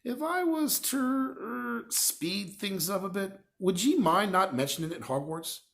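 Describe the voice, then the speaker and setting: A man voicing a giant, gruff but good-natured storybook man with a blunt, rustic, exclamatory way of talking. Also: high-pitched, animated, unhurried, a bit bright, pitched above the performer's own voice, heavily acted. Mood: sheepish. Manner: folksy, exclamatory, drops words.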